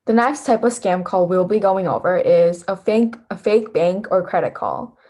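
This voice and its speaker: A woman's voice: on the low side, moderate pace, mellow. A teenage young woman presenting a scripted lesson as an instructor. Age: teens. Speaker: volunteer instructor.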